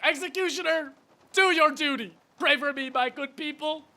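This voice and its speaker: Pompous nutty official, super high piercing voice, grand n melodramatic, radio play. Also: male voice, moderate pace, bright, forceful tone, exaggerated voice. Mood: melodramatic anguish. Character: pompous, unhinged, guilt-wracked chief dissector, theatrically self-important. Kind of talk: theatrical radio play acting.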